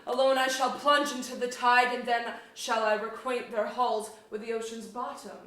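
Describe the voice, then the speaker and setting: Woman acting a high-voiced warrior queen, echoey stage. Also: flat delivery, normal speed, fairly bright tone, slight background noise, natural voice. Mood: regal, resolute. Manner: stately archaic verse delivery. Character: proud, fearless warrior queen, regal and commanding. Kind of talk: staged reading of mock-Shakespearean verse drama. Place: indoor auditorium stage. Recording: echoey auditorium.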